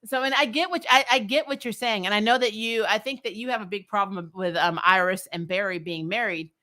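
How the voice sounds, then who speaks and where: A woman of average pitch with a very bright, forceful voice. She hosts a casual recap vlog, answering viewers in an animated, opinionated way. Her delivery is blunt and emphatic, with free swearing. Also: brisk. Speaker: host of a TV show recap vlog.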